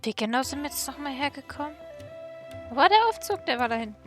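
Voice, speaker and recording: A woman's voice: high-pitched, expressive, fast, faint music behind. A woman streamer giving casual let's-play commentary on a video game.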